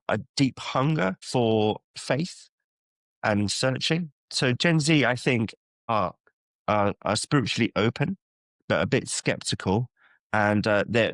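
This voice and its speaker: A man's voice: medium pitch, fairly bright tone, dry recording. A man from the London area, a church youth ministry worker, answering interview questions in a thoughtful, hesitant way with many ums. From England (London area). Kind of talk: podcast interview guest.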